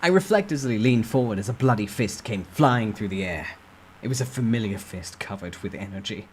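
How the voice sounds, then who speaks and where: Low voiced guy doing a dramatic suspenseful story read, noticeable noise in the background. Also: lively intonation, a little fast. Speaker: amateur story narrator. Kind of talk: dramatic storytime reading of a fantasy web novel, first person.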